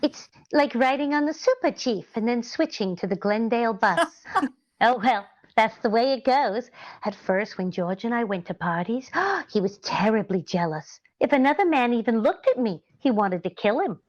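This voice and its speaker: A chatty, dreamy, scatterbrained housewife from 1940s radio comedy, played by a woman. Her voice is fairly high, and the recording is a little dull. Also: animated, moderate pace, close to an everyday voice. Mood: chatty, wry.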